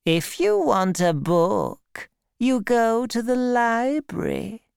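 A woman voicing a sly old female fairy in a storybook, with a croaky, drowsy, drawn-out delivery. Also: on the high side, sing-song, a little mellow, no room sound, clean studio sound, pitched above the performer's own voice, exaggerated voice. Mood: wary, threatening. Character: sleepy, sly old female fairy creature, bitter drinker.

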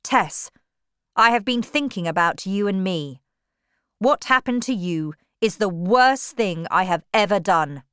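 A woman voicing an intense, remorseful young gentleman turned preacher in an audiobook, pressing his case earnestly. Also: medium pitch, expressive, unhurried, smooth, no echo, studio quality. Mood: pleading, remorseful.

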